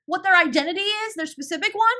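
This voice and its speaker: A woman acting a bright-voiced, fiercely protective older sibling. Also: very high voice, quick pace. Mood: furious, sarcastic. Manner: emphatic, scolding, sarcastic. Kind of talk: dramatic audio roleplay acting.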